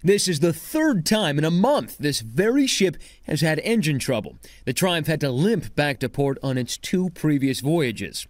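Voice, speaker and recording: Emphatic male news correspondent narrating. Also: on the high side. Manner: dramatic, emphatic reporting delivery.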